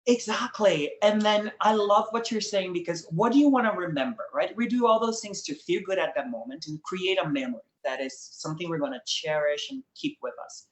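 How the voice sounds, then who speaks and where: A man's voice: sits high, animated, brisk, hardly any pauses, roomy sound. A young man from Brazil with a Brazilian accent, a guest speaking in an earnest, reflective conversational way and laughing easily. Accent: Brazilian Portuguese. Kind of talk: casual conversation.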